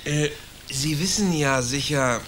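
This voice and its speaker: An actor playing a sly investigator in a radio play, speaking in a smooth, ironic, leading way. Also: male voice, fairly high pitch, slow, very noisy. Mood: scheming. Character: sly, scheming male special-commission investigator.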